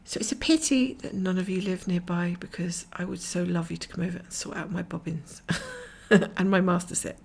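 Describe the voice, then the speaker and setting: A middle-aged woman from Scotland chatting casually while she cross-stitches at home, rambling from topic to topic and often dropping to a whisper, her voice croaky and her nose blocked. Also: lowish voice, steady tone, normal speed, darkish tone, slight background noise. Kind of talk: casual stitch-along chat. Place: at home, camera over her stitching hoop.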